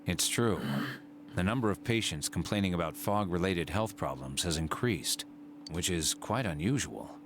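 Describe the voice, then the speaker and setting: An actor playing a serious hospital doctor in a video game, explaining things in a careful, measured manner. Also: male voice, sits low, animated, noisy background. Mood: cautious.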